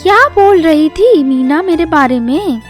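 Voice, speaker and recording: Woman acting angry young friend, high-pitched, accusing, blunt, storybook. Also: animated, unhurried, soft background music. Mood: suspicious.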